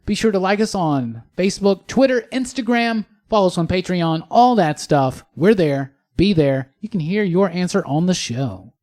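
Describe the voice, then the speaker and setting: A man's voice: high voice, animated, moderate pace, few pauses, a little mellow. A man co-hosting a podcast in casual chat, with a playful, teasing manner. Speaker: podcast co-host.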